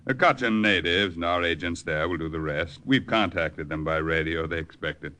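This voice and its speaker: An actor playing a confident, brisk American army colonel in an old-time radio adventure, assured and authoritative. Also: male voice, low voice, bright, forceful tone. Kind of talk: 1950s radio adventure acting.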